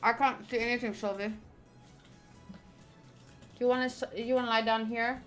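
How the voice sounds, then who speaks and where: A woman's voice: a little high, steady tone, moderate pace, a bit bright, soft background music. A woman streaming a game, reading its text aloud and chatting casually with playful asides.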